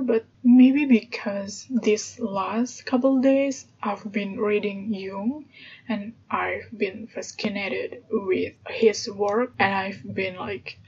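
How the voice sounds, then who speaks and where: A woman's voice: on the high side, darkish tone. A woman from Indonesia with an Indonesian accent, hosting a casual solo podcast and thinking aloud with hesitations and light laughs. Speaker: podcast host. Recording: dull lo-fi sound with background noise.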